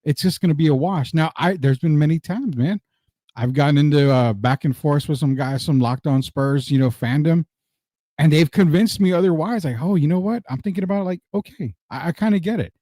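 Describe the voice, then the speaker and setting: A laid-back man talks sports in a casual podcast chat. He is even-tempered and laughs easily. His voice is mellow and dark, and the sound is dry, with no room echo. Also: fairly high pitch, a little fast.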